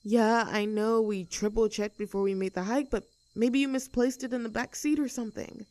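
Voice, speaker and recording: A woman's voice: fairly high pitch, fairly even intonation, normal speed, a little mellow, no room sound, lightly acted. A woman voicing a cheerful, easygoing young tomboy friend in an intimate roleplay audio drama, talking in a casual, chatty and affectionate way. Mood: reassuring, upbeat.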